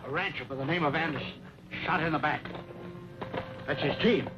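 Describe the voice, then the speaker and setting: An actor playing an earnest former marshal in an old-time western drama. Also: male voice, fairly high pitch, a little fast, lightly acted. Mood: grave. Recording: dull vintage soundtrack with music behind.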